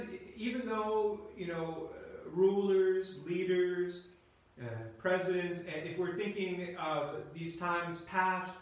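A pastor, a man in his fifties, preaching a sermon in a halting, deliberate way with repeated words and pauses, inside a church. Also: sits high, a bit bright. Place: indoors in a church at the front by a screen. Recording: very echoey church room.

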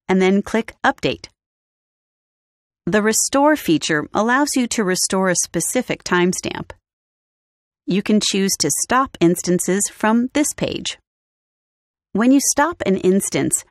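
A woman narrating a scripted step-by-step software walkthrough in a clear, even instructional manner. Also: mid-range voice, no room sound, clean studio sound. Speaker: tutorial narrator. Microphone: studio voice-over.

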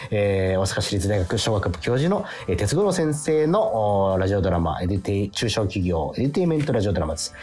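A man's voice: lowish voice, very animated, quick pace, hardly any pauses, a little mellow. A man hosting a radio show in casual, polite chat. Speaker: radio host.

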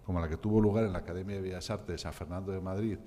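Older male presenter, low voice, anecdotal and self-deprecating; hall reverb. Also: fairly even intonation, rapid-fire, warm tone. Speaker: event presenter. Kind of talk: introducing a guest speaker. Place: conference hall at a panel table. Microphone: table microphone.

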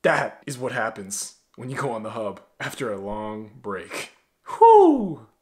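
Young guy vlogging from his bedroom, swears a lot, gets loud then drops low, average pitch. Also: unhurried. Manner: blunt, profane, animated with big swings in loudness. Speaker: self-improvement coach. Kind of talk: direct-to-camera advice vlog. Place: small bedroom, indoors.

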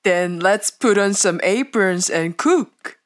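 A woman voicing a kind, cheerful elephant in a children's puppet show, giving simple, gentle instruction. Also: mid-range voice, animated, slow pace. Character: kind, cheerful elephant who loves cooking. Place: studio voice-over. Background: children's music.

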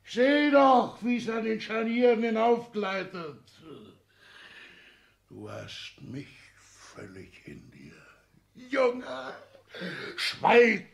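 A man's voice: extremely high pitch, animated, very slow, vocal fry, exaggerated voice. An actor playing an elderly, proud and gloating father in a theatrical 1960s radio play, swinging from whispers to outbursts. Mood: excited, gloating. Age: older. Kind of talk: stage-like 1960s German radio play acting.

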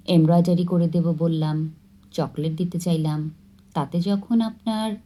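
Narrator as a coy young woman, shy, playful, lifted pitch, mellow, storybook dialogue. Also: fairly even intonation, unhurried, lightly acted.

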